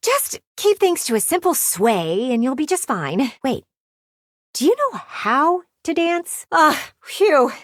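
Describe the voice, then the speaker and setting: An actress voicing a pushy, blunt talking window in a video game, with bossy, dramatic emphasis. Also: female voice, sits high, big pitch swings, slow pace, dry recording, studio quality, exaggerated voice. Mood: pushy, exasperated.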